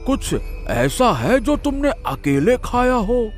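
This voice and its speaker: Village elder for animated folk tale, male actor: grave, dramatic, slow, widely swinging intonation. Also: very high-pitched, soft background music, exaggerated voice. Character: grave village elders, worried father and solemn healer. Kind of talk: dramatic cartoon story dialogue.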